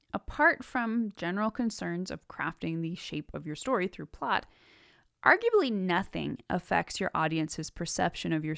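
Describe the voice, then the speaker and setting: A woman hosting an instructional podcast, reading a prepared script in an articulate, measured way with emphasis on key words. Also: lowish voice, vocal fry, a bit bright, dry recording, clean studio sound. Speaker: podcast host and writing teacher.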